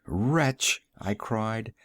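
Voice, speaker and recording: A man reads gothic horror poetry aloud as a narrator, in a theatrical, measured delivery with drawn-out phrases. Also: lowish voice, lively intonation, fairly bright tone, no echo, clean studio sound. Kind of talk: dramatic recitation of a gothic horror poem.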